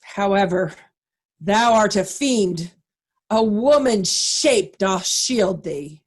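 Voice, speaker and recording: A woman playing a morally outraged nobleman in a Shakespearean play reading, with grave, measured, condemning delivery. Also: mid-range voice, lively intonation. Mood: outraged, disgusted. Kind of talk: Shakespearean reader's theatre.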